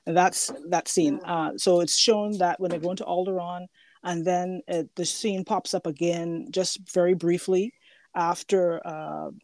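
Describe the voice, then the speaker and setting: A podcast host talking casually about films in a halting, self-correcting way. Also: mid-range voice, fairly even intonation, a little mellow, no room sound. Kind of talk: casual movie discussion.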